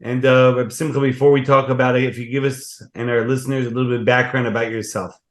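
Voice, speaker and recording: A man's voice: medium pitch, flat delivery, quick pace. A man hosts a religious talk program. He opens with formal welcomes and blessings, then puts questions to his guest. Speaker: program host. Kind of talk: interview host.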